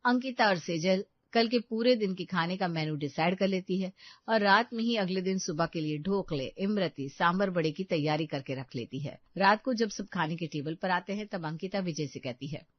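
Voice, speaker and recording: Low-pitched woman narrating a family story. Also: moderate pace, no room sound, highs cut off.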